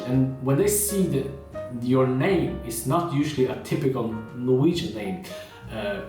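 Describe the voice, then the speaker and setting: A man's voice: mid-range voice, a little mellow. A man from China, talking casually to camera, full of fillers like "you know" and "uh". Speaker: vlogger. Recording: roomy echo, heard from a distance, with faint guitar music.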